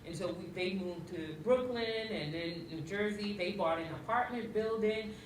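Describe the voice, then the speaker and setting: A woman from Haiti speaks with a light Haitian accent, telling her story on a panel with many ums and restarts. Her voice sits far from the mic in a very echoey room, with steady noise underneath. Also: medium pitch, normal speed. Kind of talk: personal story in a panel discussion.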